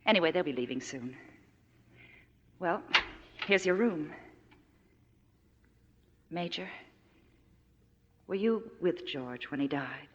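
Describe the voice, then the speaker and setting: An actress playing an earnest, plain-spoken young widow in a 1940s radio drama. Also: female voice, deep voice, animated, slow, pauses often. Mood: matter-of-fact. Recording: reverberant, muffled, noisy 1940s radio recording.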